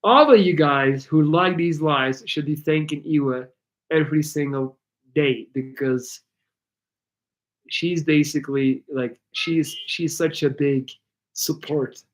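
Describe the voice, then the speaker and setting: A man's voice: a little high, slow pace, poor audio. Casual Dutch man chatting on livestream. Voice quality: slightly altered articulation of some sounds. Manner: relaxed, rambling, reads chat aloud. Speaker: livestream host answering viewer questions. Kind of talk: casual live chat.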